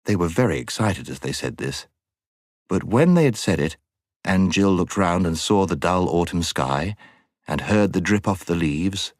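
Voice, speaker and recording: A man with an English accent reading a children's novel as an expressive audiobook narrator. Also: low voice, normal speed, dry recording, clean studio sound. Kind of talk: expressive scripted storybook narration.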